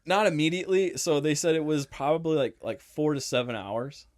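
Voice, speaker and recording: A man's voice: on the high side, fairly quick, dry recording, studio quality. A young man co-hosting a casual podcast, joking around and laughing often. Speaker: podcast co-host. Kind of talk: casual chat.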